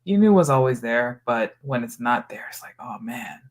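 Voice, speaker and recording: A woman's voice: extremely low pitch, lively intonation, normal speed. A woman from Hawaii hosting a podcast, chatting casually in an informal, reflective and meandering way. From Hawaii, USA. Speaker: podcast host. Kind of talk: casual chat.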